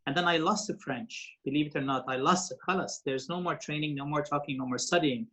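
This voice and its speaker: A man's voice: fairly high pitch, fast talker, sharp tone. A man in his forties who grew up in Lebanon and speaks Arabic as his first language. He is a radio presenter and TV host, telling stories as an interview guest in a rambling way full of fillers and restarts. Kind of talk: interview guest, casual storytelling.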